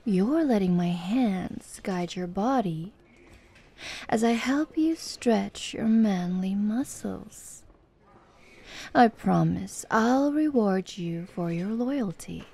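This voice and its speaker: Slow, creaky, teasing tomboy fighter played by a woman. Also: mid-range voice, lively intonation, mellow, heavily acted. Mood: coaxing, dominant. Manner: playful, teasing, coaxing, with breathy asides. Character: flirty, confident, teasing tomboy female MMA fighter. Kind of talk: ASMR roleplay.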